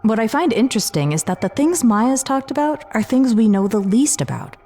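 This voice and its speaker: A woman's voice: on the high side, big pitch swings, a little fast, dark tone. A woman playing an open-minded, diplomatic scientist advisor in a sci-fi audio drama, explaining things earnestly. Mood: earnest, curious.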